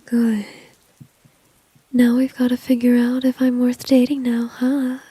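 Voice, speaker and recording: Woman acting breathy whispering flirty villainess. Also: fairly high pitch, monotone, measured pace, mellow, no echo. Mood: flirty, teasing. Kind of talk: ASMR roleplay, intimate whispering. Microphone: close microphone, dry.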